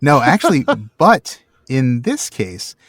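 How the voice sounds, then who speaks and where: Man with lively, swooping podcast delivery. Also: mid-range voice, slow, no room sound, clean studio sound. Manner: playful, jokey; bookish explaining of old words. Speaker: podcast co-host. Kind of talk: casual banter and word-history explanation.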